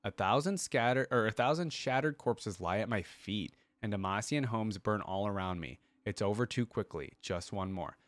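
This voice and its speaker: A man's voice: fairly low pitch, normal speed, hardly any pauses, dry recording, close to an everyday voice. A man voicing a brutal, bloodthirsty undead warrior in terse, grim declarations, as a dramatic story reading. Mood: bloodthirsty, impatient.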